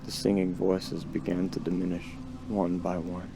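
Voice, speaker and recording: Deep, gravelly man narrating a dark tale. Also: level tone, moderate pace, background noise. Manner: ominous, theatrical. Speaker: storyteller, narrator. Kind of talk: dramatic scripted horror narration. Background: music under the voice.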